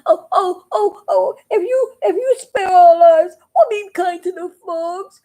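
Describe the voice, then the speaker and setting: A woman's voice: very high-pitched, big pitch swings, slow pace, creaky, a little mellow, pitched above the performer's own voice, heavily acted. A woman voicing a pair of toads, snooty at first and then stammering in fear. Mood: terrified, stammering. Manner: stammering, sing-song taunting.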